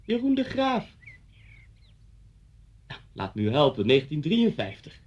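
A fussy, menacing policeman in a radio play. His voice sits a little high and sounds husky, with very lively intonation. He speaks precisely and officiously, always insinuating. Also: measured pace, dull audio, heavily acted. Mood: insistent, nostalgic. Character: pedantic, menacing policeman, the teacher's former pupil.

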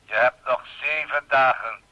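An actor playing a menacing anonymous telephone caller in a radio play, speaking in a deliberate, ominous way. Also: male voice, mid-range voice, sharp tone. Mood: cold menace. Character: menacing anonymous male caller making death threats. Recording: dull lo-fi radio, telephone sound.

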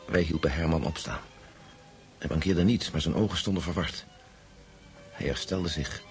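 Man acting as grave storyteller guy, low voice, radio play narration, faint music. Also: close to an everyday voice. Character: Pavel, grave male friend recounting a ghostly tale. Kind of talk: dramatic first-person radio narration.

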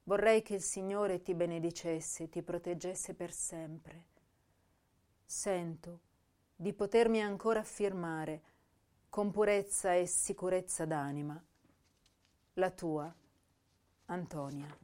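A woman's voice: lowish voice, darkish tone. A woman playing an intense, tender, self-reproaching young poet in a radio drama, reading her letters and verse with theatrical feeling. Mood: sorrowful, pleading. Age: young adult. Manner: slow, emotive, theatrical delivery.

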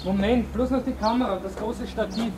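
An actor playing a busy young TV cameraman, hurried and matter-of-fact, in a naturalistic radio play. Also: high-pitched, steady tone, a crowd in the background, natural voice. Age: young adult. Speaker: cameraman character. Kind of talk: naturalistic radio drama acting.

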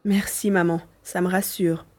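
A woman's voice: fairly low pitch, little pitch movement, normal speed, a little mellow, close to an everyday voice. A woman playing an earnest, caring young boy in a French audio drama. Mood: reassuring.

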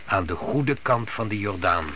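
A man's voice: lowish voice, expressive, normal speed, lightly acted. Man acting stern pious father, biblical radio play, solemn n authoritative, slightly noisy recording. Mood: firm. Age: middle-aged. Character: stern, pious patriarch leading his family, biblical times.

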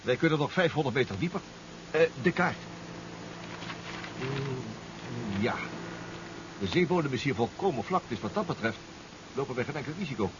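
A muffled, clearly noisy old radio play. A man plays a self-assured submarine-inventor professor, precise and reassuring, with lively swings of pitch. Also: moderate pace, long pauses, natural voice. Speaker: actor in a radio drama. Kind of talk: 1970s radio drama acting.

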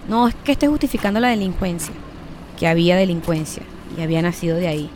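A woman's voice: lowish voice, animated, fast, a little mellow. A woman speaking reflectively and conversationally, explaining herself with careful qualifications. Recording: clear outdoor street and traffic noise.

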